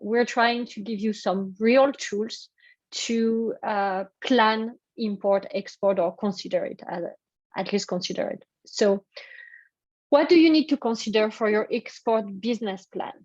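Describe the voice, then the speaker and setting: A woman's voice: mid-range voice, measured pace, a little mellow, no room sound, muffled recording. A woman from France with a French accent, presenting a business workshop over a video call, hesitant and full of fillers. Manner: hesitant, many fillers and restarts. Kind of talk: webinar presentation.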